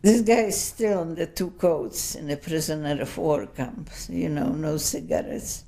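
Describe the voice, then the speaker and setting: A woman in her seventies giving an oral history testimony, speaking English as a second language in a slow, rambling, anecdotal way full of asides. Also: sits low, gravelly, mellow. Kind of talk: unscripted recollection.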